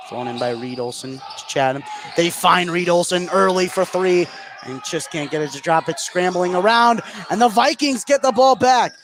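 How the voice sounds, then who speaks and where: A man doing live play-by-play basketball commentary on radio, getting excited on big plays. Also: high-pitched, normal speed. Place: indoor school basketball gym. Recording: noisy gym ambience behind.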